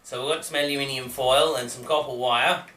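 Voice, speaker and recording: A man's voice: mid-range voice, fairly even intonation, brisk, bright, forceful tone, a little hiss or hum. A man co-hosting a casual comedic chat, rambling and self-deprecating and tripping over his words. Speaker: co-host. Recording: echoey room, heard from a distance.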